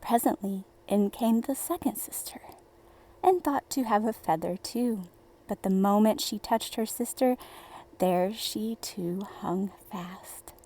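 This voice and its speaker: A woman reading a children's bedtime fairy tale in a deliberate, theatrical storybook manner. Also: medium pitch, dark tone, slight background noise.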